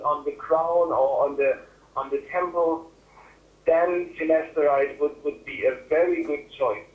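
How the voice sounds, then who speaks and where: Middle aged german doctor guy on the phone, a bit high pitched, halting, roomy thin line. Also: narrow, tinny audio. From Germany or German-speaking Europe. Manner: halting, repeats and rephrases words. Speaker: hair loss doctor answering questions. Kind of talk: medical Q&A on a webinar. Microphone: phone call.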